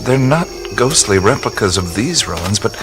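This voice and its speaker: An actor playing an awestruck explorer in a radio drama, musing haltingly and often breathily as he discovers mysterious things. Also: fairly low pitch, expressive, close to an everyday voice. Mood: curious wonder. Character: awestruck male adventurer exploring mysterious ruins, wondering and hesitant. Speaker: lead actor in an audio drama. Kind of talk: radio drama acting, first-person narration of discoveries. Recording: music bed behind the voice. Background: music.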